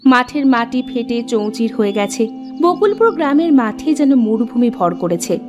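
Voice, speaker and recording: Female storyteller for children's folktales: high pitch, lively intonation, measured expressive narration, light music bed.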